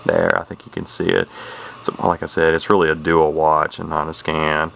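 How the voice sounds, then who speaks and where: A man's voice: rumbling low, little pitch movement, normal speed, gravelly, a bit bright. A middle-aged man giving a casual, unscripted demonstration in a folksy, plainspoken, hesitant and rambling way. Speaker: vintage radio hobbyist and demonstrator. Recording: noisy, with radio static behind. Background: CB radio noise from its speaker.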